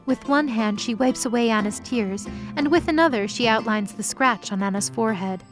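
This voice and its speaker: Fairly high-voiced woman narrating a story. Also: moderate pace, over music.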